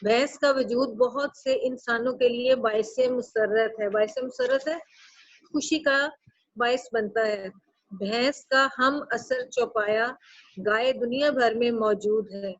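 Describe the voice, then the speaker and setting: A woman teacher with a Pakistani Urdu accent reads a text to her class and explains each line in simpler words, jumping to a high pitch when she stresses a point. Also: measured pace, dry recording. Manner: reads a line aloud, then restates it in plainer words. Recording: dull, muffled sound.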